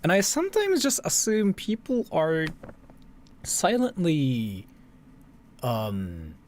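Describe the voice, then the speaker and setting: A man chatting casually as a livestream host, rambling and haltingly repeating himself. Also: a little high, wildly swooping pitch, a little mellow, slight background noise. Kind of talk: casual livestream chat and reaction commentary.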